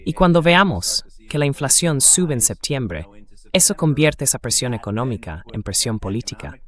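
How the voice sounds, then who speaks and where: A man's voice: mid-range voice, very animated, moderate pace, a bit bright. A synthetic AI man's voice reading a translated voice-over of political interview commentary in a conversational style.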